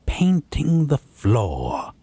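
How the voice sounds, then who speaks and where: A man playing a possessive, menacing male vampire in a dramatic audio roleplay, husky and breathy, with taunting, drawn-out phrasing and whispered words. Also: mid-range voice, huge pitch swings, mellow, exaggerated voice. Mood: threatening, angry. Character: possessive, menacing, predatory male vampire, wounded yet domineering.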